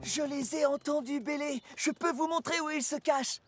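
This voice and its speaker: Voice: sits high, moderate pace, a bit bright, soft background music. An actor voicing an earnest, eager, childlike little male electric locomotive in a children's cartoon. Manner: makes imitation engine noises, sincere. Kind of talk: French-language children's cartoon voice acting.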